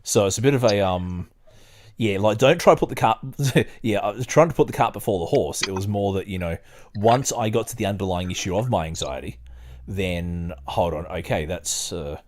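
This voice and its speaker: A man with a fairly deep voice hosts a podcast. He talks casually in a hesitant, wandering way, and also reads passages aloud. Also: darkish tone, slight background noise. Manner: hesitant, halting, digressive when talking. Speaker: podcast host. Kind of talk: casual chat and reading aloud.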